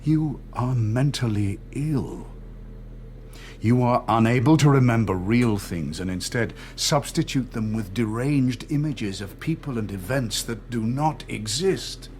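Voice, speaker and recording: A middle-aged man acting a cold, controlled interrogator in a radio drama, measured, didactic and condescending. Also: medium pitch, expressive, darkish tone, a little hiss or hum, natural voice. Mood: patient, condescending. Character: cold, controlled party interrogator and torturer, patronising and menacing.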